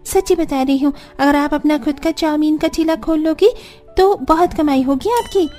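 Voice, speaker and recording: Woman as a very high-voiced, chatty young in-law. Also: normal speed, a little mellow, soft background music, natural voice. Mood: delighted. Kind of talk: Hindi family cartoon acting.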